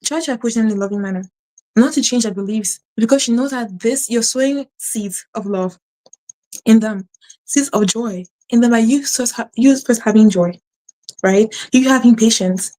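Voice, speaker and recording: A woman host who talks fast with a stutter and many restarts, giving earnest faith-based advice to her audience. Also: fairly high pitch, dark tone, low-quality recording. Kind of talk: casual spiritual advice to camera.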